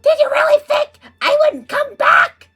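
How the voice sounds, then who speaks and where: A woman's voice: extremely high pitch, animated, measured pace, sharp tone, faint music behind, pitched above the performer's own voice, exaggerated voice. A woman voicing a vengeful male demon who shrieks his threats. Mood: menacing.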